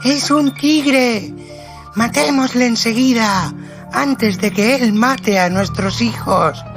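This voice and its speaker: Radio drama: actress as enraged, frightened mother accusing loudly, high-pitched and drawn-out, music underneath. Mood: furious, alarmed. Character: furious accusing village mother, fearful for her baby.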